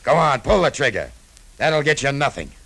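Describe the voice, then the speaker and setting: An actor playing a tough, cynical hard-boiled adventurer, curt and sardonic, in a 1950s radio drama style. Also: male voice, mid-range voice, sing-song, normal speed, vocal fry, fairly bright tone, heavily acted. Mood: defiant.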